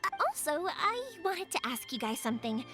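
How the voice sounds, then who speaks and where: A woman's voice: high voice, sing-song, fairly quick, music in the background. A woman playing a kind, spirited and stubborn young fireworks maker in a video game, bubbly and emphatic. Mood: friendly, reassuring. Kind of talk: animated video game voice acting.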